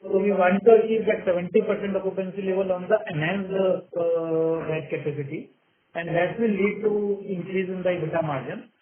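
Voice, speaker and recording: A man with an Indian accent answering analysts as a company executive, in a halting, filler-heavy way. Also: high-pitched, steady tone, crowd noise. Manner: halting, hesitant delivery with many fillers. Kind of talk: earnings call answers. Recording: narrow tinny phone conference line.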